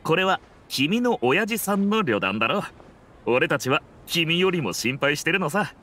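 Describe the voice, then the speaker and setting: A man playing a level-headed, shrewd young male desert mercenary in an anime game, casual and measured in wording. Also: a little high, big pitch swings, pushed and bright, full character voice. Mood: reassuring. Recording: music behind the voice.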